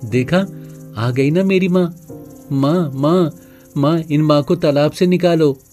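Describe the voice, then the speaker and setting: A man voicing a trusting, plaintive young orphaned calf in an animated moral story. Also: a little high, slow, faint music behind. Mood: pleading. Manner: earnest, plaintive.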